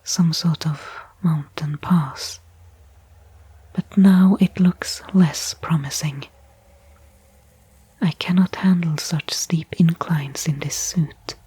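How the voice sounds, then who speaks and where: A stranded woman explorer, voiced by an ASMR actress, murmurs to herself in a very breathy, low voice. She is slow and halting, with a soft, mellow tone. Her temper is wry, stubborn and resigned, as in an intimate sci-fi audio roleplay. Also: fairly even intonation, no echo, heavily acted, low-quality recording. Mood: weary, resigned. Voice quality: breathy, whispery.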